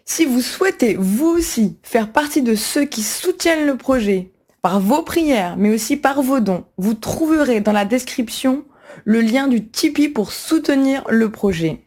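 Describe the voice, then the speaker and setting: Female channel presenter, higher voice, steady read-out of a prepared thank-you. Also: unhurried. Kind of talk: read announcement.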